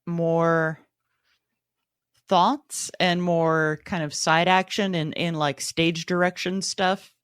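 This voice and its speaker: A woman's voice: on the low side, fairly even intonation, slow pace, clear, resonant tone, no echo, studio quality. A woman co-hosting a podcast, talking casually in a matter-of-fact way. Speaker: podcast co-host. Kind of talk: casual chat.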